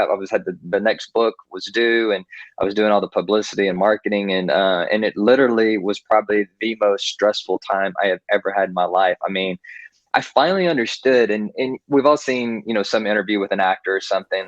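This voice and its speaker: A man's voice: fairly low pitch, fairly even intonation, a little fast, no echo. A man from Texas, an author talking casually in an interview, rambling with many restarts and fillers. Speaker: author guest. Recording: dull lo-fi, dry.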